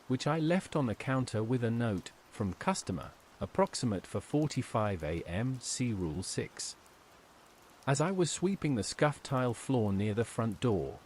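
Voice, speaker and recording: A man reads a scripted horror tale as its narrator. His delivery is measured and dramatic, with a medium-pitched voice that moves widely up and down. Soft rain runs behind him. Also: slight background noise.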